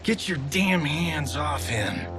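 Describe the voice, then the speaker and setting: An actor playing a cocky, wisecracking Hollywood action star with an American accent, sarcastic and theatrical, in a cinematic video game. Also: male voice, on the high side, animated, slow, over music. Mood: playful, showy.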